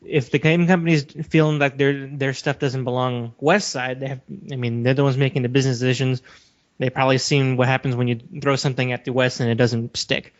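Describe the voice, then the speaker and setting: A man's voice: medium pitch, little pitch movement, a little fast. A man co-hosting a podcast, discussing his opinions casually in an assertive, emphatic manner. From Western country. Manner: assertive, emphatic, steers the talk. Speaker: podcast co-host. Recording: dull lo-fi audio.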